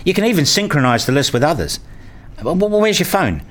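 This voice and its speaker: A man's voice: a little high, big pitch swings, a little fast, fairly bright tone. A man playing a frustrated, pleading father who tells his own life in a radio play, wordy and earnest. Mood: eager, pleading. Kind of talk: first-person radio play narration and dialogue.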